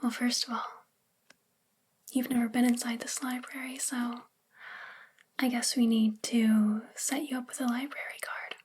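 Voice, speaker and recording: A woman playing a sweet witch librarian in an ASMR roleplay, speaking gently in a soft, breathy near-whisper. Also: a little high, level tone. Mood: gentle, chatty. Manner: gentle, unhurried, friendly. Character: sweet young female witch librarian in a magical town. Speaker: ASMR performer.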